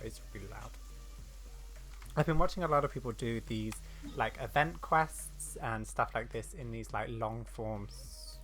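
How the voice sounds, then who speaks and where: A woman's voice: rumbling low, fairly bright tone. A woman doing casual, rambling let's-play commentary as host of a gaming video. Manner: chatty, rambling.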